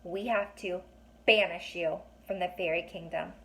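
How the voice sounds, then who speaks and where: A woman's voice: fairly low pitch, steady tone, unhurried, creaky, fairly bright tone, a little hiss or hum, poor audio. Woman reading as a stern, formal fairy elder. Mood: grave. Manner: formal, severe. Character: stern, affronted fairy council members passing judgment.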